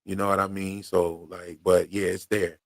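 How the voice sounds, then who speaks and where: A man with a Philadelphia accent hosts a relaxed livestream, talking in easy slang with lots of fillers. His mid-range voice carries a gravelly edge, and the sound is close and dry. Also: sits low, moderate pace, dry recording. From Pennsylvania. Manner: laid-back slangy talk with frequent fillers. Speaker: podcast host. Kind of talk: casual livestream chat.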